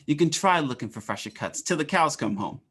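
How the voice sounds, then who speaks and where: A woman's voice: deep voice, animated, fairly quick, breathy edge. A woman playing a folksy, pushy male butcher who gives a wisecracking, streetwise hard sell in an old-time radio ad. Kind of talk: 1940s radio ad acting.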